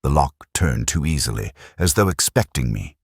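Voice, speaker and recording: Male actor for brooding detective narrator in a radio mystery: very deep, expressive pitch movement, measured and reflective, dry sound. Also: gravelly, breathy edge, no room sound, full character voice. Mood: hushed, watchful. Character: brooding, lonely, reflective male police inspector. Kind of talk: scripted first-person mystery narration.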